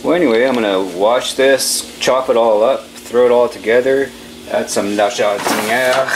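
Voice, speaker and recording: A man's voice: medium pitch, steady tone, normal speed. A man in his forties with a Canadian accent, chatting casually and informally as he films himself at home, laid-back and freely swearing. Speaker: home vlogger. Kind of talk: casual chat while filming daily life. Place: home kitchen, with some outdoor shots. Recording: noisy handheld camera audio with ambient hiss.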